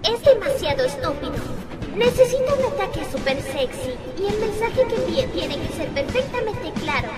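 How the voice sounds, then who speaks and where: A woman with a Latin American Spanish accent voicing an excitable, lustful, easily flustered teenage schoolgirl in an anime fandub. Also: extremely high pitch, normal speed. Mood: scheming. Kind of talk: anime fandub acting with inner monologue. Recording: music behind the voice.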